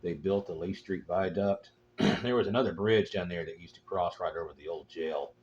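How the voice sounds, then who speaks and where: A man with an extremely low voice talks casually as a radio operator in a matter-of-fact, rambling manner. The audio is dull and lo-fi, with clear noise around him. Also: moderate pace, a little mellow. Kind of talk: casual chat over two-way radio. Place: portable, out at a local spot.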